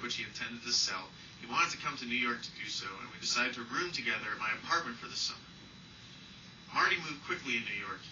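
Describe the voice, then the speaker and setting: Man, author reading live, wry and self-deprecating, echoey room, far from the mic. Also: mid-range voice. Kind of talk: live reading of a personal essay. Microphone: distant from the speaker. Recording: distant, roomy, muffled, noisy live recording.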